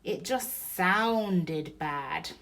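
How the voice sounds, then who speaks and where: A woman voicing an excitable, reassuring young boy character in a children's storybook read-aloud. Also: lowish voice, very slow, heavily acted. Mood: eager, reassuring.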